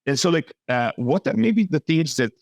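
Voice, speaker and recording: A man's voice: on the high side, lively intonation, moderate pace, vocal fry, darkish tone, no echo, studio quality. A man hosting an interview podcast, speaking with frequent "uh" fillers and restarts. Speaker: podcast host.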